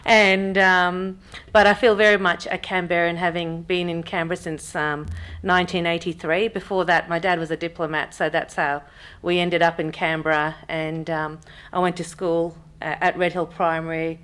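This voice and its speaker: A woman in her thirties, born in Pakistan and speaking, gives a relaxed business workshop talk to a room, full of ums and restarted sentences. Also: low voice, smooth. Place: conference room with audience.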